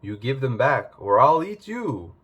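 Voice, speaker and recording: A man's voice: medium pitch, big pitch swings, a little slow, a bit bright, husky, full character voice. A man voicing a big, grumpy, aggrieved and threatening male ogre in a storybook tale. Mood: angry.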